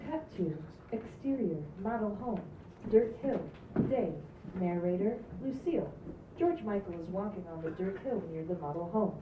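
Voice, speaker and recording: A woman's voice: medium pitch, lively intonation, moderate pace. Woman, stage-direction reader for a table read. Distant mic, echoey room. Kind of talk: reading script directions aloud. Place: live table read in a roomy space. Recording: roomy, distant, muffled, very noisy live recording.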